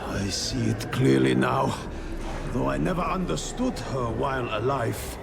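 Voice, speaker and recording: Gravelly, anguished ghostly squire. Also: male voice, mid-range voice, very noisy. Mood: sorrowful. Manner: mournful, pleading, halting. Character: anguished, remorseful ghost of a peasant-born male squire. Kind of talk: dramatic video game acting.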